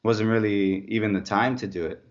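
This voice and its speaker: Deep-voiced Slovak man, halting and conversational. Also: fairly even intonation. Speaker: former professional road cyclist turned mountain bike racer, interviewee. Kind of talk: casual interview chat. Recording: dull lo-fi audio.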